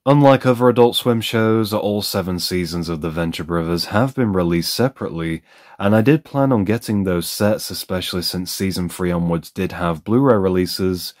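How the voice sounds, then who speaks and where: Guy with a deep low voice doing an unboxing review, chatty and opinionated. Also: darkish tone. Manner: candid, opinionated, conversational.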